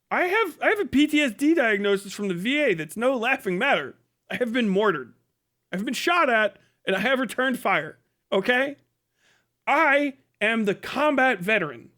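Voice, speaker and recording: Middle-aged male streamer, high-pitched, blunt and profane, comedic ranting in a casual livestream style. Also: fairly bright tone. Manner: blunt, mocking, heavy casual swearing. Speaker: live streamer, host.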